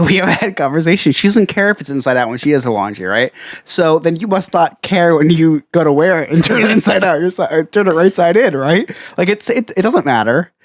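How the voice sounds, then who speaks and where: A man with a New Jersey accent rambles through a casual podcast chat, a little tipsy and quick to mock himself. His voice is dry and close, with no room sound around it. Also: fairly high pitch, no echo. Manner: rambling, self-mocking, laughs a lot. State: a bit tipsy. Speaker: podcast co-host.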